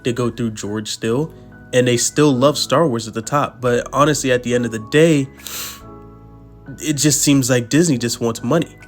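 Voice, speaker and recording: A man with a hoarse voice from being sick, giving heated opinion commentary over a video, repeating himself for emphasis. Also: medium pitch, moderate pace, soft background music. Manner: pleading, repetitive emphasis.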